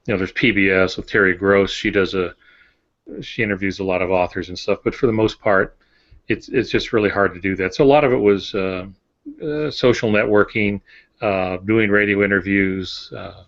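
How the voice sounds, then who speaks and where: An older man speaks in a fairly low voice as he co-hosts a casual podcast. His delivery is plain and matter-of-fact, and the sound is a little dull. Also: a bit bright. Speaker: novelist and podcast co-host. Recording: dull lo-fi audio.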